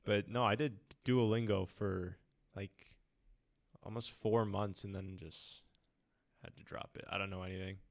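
A young man hosts a casual student podcast in an easygoing, teasing way. His voice sits a little low, and he trades stories freely with his guest. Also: fairly even intonation, unhurried, creaky. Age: young adult. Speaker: podcast host. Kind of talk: casual chat.

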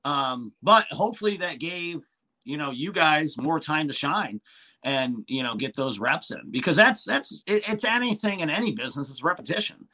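A man's voice: on the high side, lively intonation, normal speed, sharp tone. A man, a wrestling manager and commentator, chatting casually in a podcast interview with frequent restarts and fillers.

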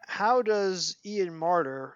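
A man's voice: sits high, takes it slowly, smooth, no room sound. Scratchy-voiced Brooklyn man, dry lo-fi sound. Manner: animated storyteller, mock dramatic. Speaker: podcast host. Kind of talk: casual chat, reading aloud from a book.

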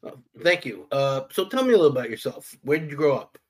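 A man's voice: fairly high pitch, sing-song, quick pace. A man, a podcast host who teaches history, asking his guest short, plain interview questions.

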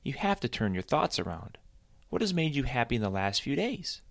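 A man's voice: mid-range voice, big pitch swings, brisk, creaky, no room sound, clean studio sound, voice pushed up. A man voicing a cheerful, always-happy male bunny who talks in an eager, encouraging way.

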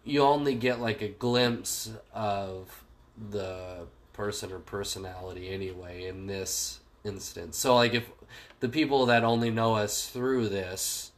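A man's voice: lowish voice, steady tone, unhurried. A man co-hosting a podcast in casual chat, talking with a stuffy nose in a joking, sarcastic way. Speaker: podcast co-host. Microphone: phone call.

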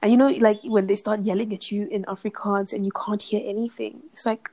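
A woman's voice: mid-range voice, flat delivery, brisk, warm tone. Schoolgirl, late teens, blunt casual co-host. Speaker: podcast co-host. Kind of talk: casual chat.

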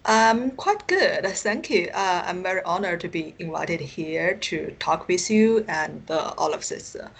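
Woman w chinese accent on an interview call, slightly low voice, kinda feels around for words. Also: animated, measured pace, creaky, few pauses, slightly rough. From China. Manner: hesitant, searching for words. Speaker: podcast guest, author. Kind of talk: casual interview answers. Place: remote Skype call.

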